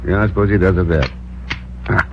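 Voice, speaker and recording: A man's voice: low-pitched, fairly quick, noisy background, lightly acted. A man playing a suave, dry secret agent. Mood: wry. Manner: calm, dry, understated. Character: suave male secret agent, calm and wry. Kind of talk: 1950s radio drama acting. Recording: dull lo-fi old radio recording.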